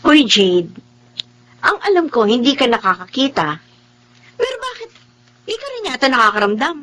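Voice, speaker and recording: A woman playing a teasing, protective, bossy best friend in a Filipino radio drama, with frank, expressive delivery. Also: high-pitched, moderate pace, exaggerated voice. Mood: concerned, nagging.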